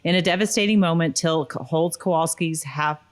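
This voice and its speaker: A woman's voice: low voice, moderate pace. A woman co-hosting a podcast, moving between casual chat and reading a written recap. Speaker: podcast co-host.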